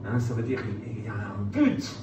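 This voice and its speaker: A middle-aged man preaching to a live audience, telling stories in an animated, theatrical way. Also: mid-range voice, moderate pace. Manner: animated, theatrical retelling with acted-out dialogue. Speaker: preacher, former pastor. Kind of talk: storytelling sermon to a live audience. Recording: very echoey room, distant mic, clear noise.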